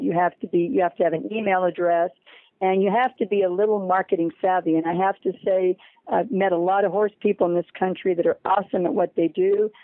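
A woman's voice: lowish voice, no room sound. An American woman speaking emphatically as an interview guest, explaining a program and repeating words for stress. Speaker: guest, head of a horse-riding charity. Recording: narrowband phone-like line.

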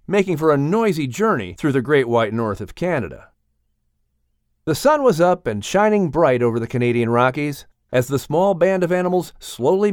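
Male children's audiobook narrator, mid-range, lively intonation, expressive storytelling. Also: medium pitch, clean tone, no echo, clean studio sound.

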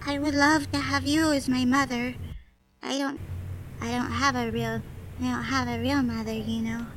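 A woman playing a high-voiced, polite young girl. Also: little pitch movement, normal speed, slight background noise. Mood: hopeful, wistful. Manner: polite, childlike.

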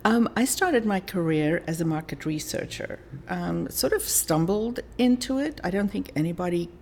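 A woman's voice: fairly low pitch, expressive, moderate pace, darkish tone, a little hiss or hum. A woman in her sixties from South Africa, with a South African accent, chatting easily as a guest in a podcast interview about her life as a coach. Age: 60s.

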